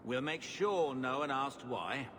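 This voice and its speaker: An actor playing a blunt, rough, plain-spoken male mercenary captain in a video game. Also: mid-range voice, takes it slowly, noisy background, natural voice. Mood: cold, businesslike. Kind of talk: video game cutscene acting.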